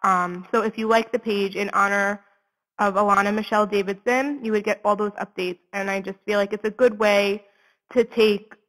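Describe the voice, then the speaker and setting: A woman in her twenties talks as an interview guest, with long reverb around her voice. Her pitch sits in the middle range for a woman. She speaks in an earnest, conversational and candid way. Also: fairly even intonation, normal speed, a bit bright. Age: 20s. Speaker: interview guest, suicide prevention advocate.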